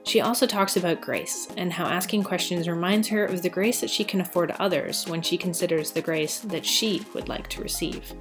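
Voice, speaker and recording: A woman hosting a podcast, reading a measured intro and then asking a guest questions. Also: fairly low pitch, music in the background. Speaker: podcast host.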